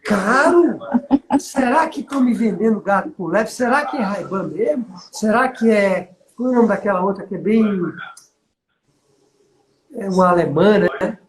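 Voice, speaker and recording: A man's voice: high voice, animated, normal speed, roomy sound, a crowd in the background, low-quality recording. A middle-aged man with a Northeastern Brazilian accent, chatting as a business mentor and telling stories while checking that the listener follows. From Bahia, Brazil. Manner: storytelling, asks listener for agreement. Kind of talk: conversational business coaching.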